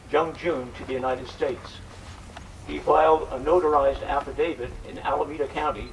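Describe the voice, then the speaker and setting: An older man introducing and narrating a live event, reading from a script as presenter. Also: fairly high pitch. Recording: distant mic, faint background noise.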